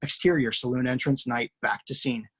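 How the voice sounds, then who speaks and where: A screenwriter hosting a table read over a video call, reading scene directions aloud. His voice is slightly husky and sits at an ordinary middle pitch, delivered plainly and steadily. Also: fairly even intonation, normal speed, a bit bright. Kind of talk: reading stage directions, then casual chat. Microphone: video call mic.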